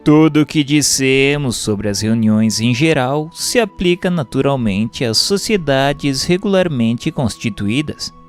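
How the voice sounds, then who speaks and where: A man with a Brazilian accent reading a doctrinal text aloud as a narrator, in a formal, measured, didactic way. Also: on the high side. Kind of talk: scripted audiobook-style narration of a doctrinal text.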